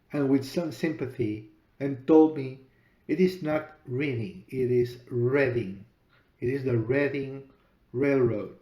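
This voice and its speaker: Man, Spanish accent, native Spanish speaker, from Peru, middle-aged, podcast host, deliberate monologue, echoey room. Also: mid-range voice, highs cut off. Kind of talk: storytelling monologue.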